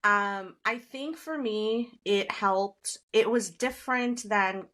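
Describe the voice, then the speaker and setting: A woman from India in her forties, a guest in a casual conversation, telling stories in an animated way with frequent laughter. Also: medium pitch, slow.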